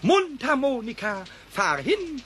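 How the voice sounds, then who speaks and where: A man's voice: huge pitch swings, slow, pushed and bright, a little hiss or hum, exaggerated voice. An actor playing a small cheeky male kobold in a radio play, stammering and repeating words. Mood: solemn. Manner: high squeaky voice, stammering repeats.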